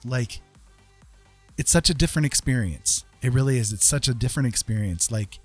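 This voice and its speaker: A man casually chatting as a livestream host, earnest and encouraging, talking in a halting way with pauses. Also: mid-range voice, slightly rough, faint music behind. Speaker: livestream host and digital artist.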